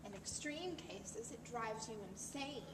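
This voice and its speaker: Woman acting high-voiced, superior, earnest wife, echoey hall. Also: animated, a little slow. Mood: earnest lecturing. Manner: lecturing, insistent, condescending. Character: earnest, superior wife zealous about psychoanalysis. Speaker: amateur actor. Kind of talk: amateur stage-play rehearsal. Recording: distant mic, echoey hall, clearly noisy.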